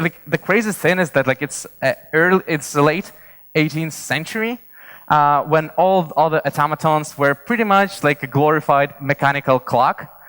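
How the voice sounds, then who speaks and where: A man speaks as a conference presenter in a big reverberant hall. His voice is clear and smooth at a middling pitch. He explains things informally, often hesitating and repeating words as he goes. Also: fairly bright tone. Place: large indoor conference hall.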